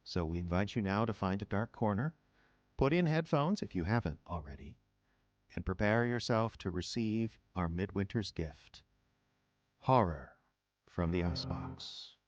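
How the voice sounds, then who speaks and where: Low-voiced man announcing radio theater. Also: lively intonation, measured pace, no room sound, muffled recording. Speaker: host and announcer. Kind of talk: scripted radio drama introduction. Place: small indoor rooms used as studio.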